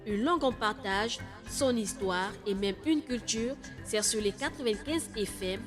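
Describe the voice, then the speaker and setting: A woman voices a scripted station promo in a measured delivery. Clear music plays under her. Key words come back as echoed repeats. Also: medium pitch. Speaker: station jingle announcer.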